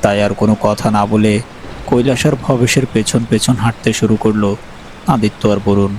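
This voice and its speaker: A man narrating a horror story in a grave, measured way, over music. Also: on the low side, steady tone, darkish tone, a little hiss or hum, low-quality recording.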